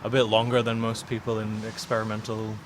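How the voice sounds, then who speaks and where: A man's voice: fairly low pitch, monotone, a little fast. A man hosting a podcast and chatting casually, feeling his way hesitantly through what he says. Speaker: podcast host, interviewer. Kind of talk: casual interview chat. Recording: clear traffic and ambient noise behind.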